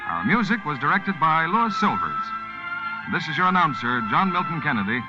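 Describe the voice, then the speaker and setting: Male vintage radio pitchman, fairly high, animated and emphatic, dull broadcast sound. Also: moderate pace, loud music behind the voice. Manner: emphatic salesman delivery. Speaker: radio announcer. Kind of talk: 1940s radio advertising and announcing.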